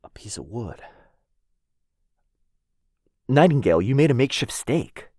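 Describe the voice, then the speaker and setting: A man playing a protective vampire lord in an ASMR boyfriend roleplay, soft-spoken and tender with close whispers. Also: medium pitch, very animated, fast talker, no echo. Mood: shaken. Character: protective young vampire lord, gentle but fierce.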